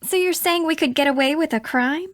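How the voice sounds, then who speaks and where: A woman's voice: high-pitched, brisk, no echo, clean studio sound, natural voice. A woman playing a cheeky young female sidekick to a detective in a video game. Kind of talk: scripted video game dialogue.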